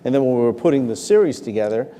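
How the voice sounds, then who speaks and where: A man's voice: mid-range voice, lively intonation, very fast, warm tone. Formal male host in a reverberant hall. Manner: formal, introduces and steers questions. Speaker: talk show host. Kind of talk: hosting a live interview before an audience. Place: large hall with live audience.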